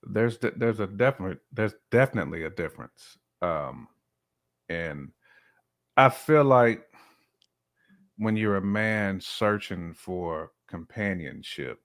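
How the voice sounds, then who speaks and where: Low-voiced man in casual, reflective discussion. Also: lively intonation, takes it slowly, a bit bright. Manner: reflective, explanatory, colloquial. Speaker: podcast guest.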